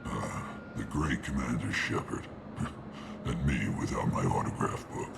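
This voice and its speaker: A man's voice: very deep voice, expressive, measured pace, gravelly, full character voice. An actor voicing a surly, sardonic alien mercenary henchman in a video game, with a dry, mocking delivery. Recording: long hall reverb, noisy background.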